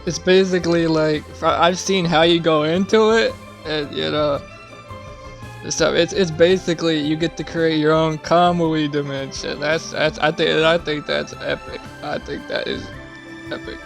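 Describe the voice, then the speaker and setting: A man's voice: fairly high pitch, steady tone, normal speed, vocal fry, soft background music. A young man giving casual gameplay commentary in a slangy, offhand way with some swearing. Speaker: gamer playing on camera or stream.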